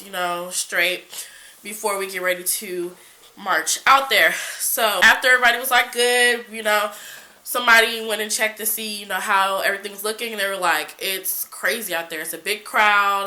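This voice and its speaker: Young woman vlogger, stuffy cold voice, bright tone, rambling casual storytelling with fillers. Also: mid-range voice, low-quality recording. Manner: chatty, many fillers and restarts. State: congested from a cold. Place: small indoor room before a folding screen. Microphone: camera in front, seated at mid distance.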